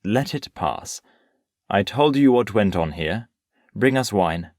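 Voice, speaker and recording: An actor playing a worldly, unruffled Parisian nobleman in an audio play, urbane and gently patronising. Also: on the low side, animated, slow, no echo, studio quality. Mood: relaxed.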